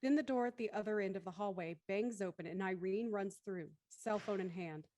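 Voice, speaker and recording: A woman's voice: mid-range voice, brisk, dark tone, no room sound, dull audio. A woman reading scripted narration for an audio drama thriller.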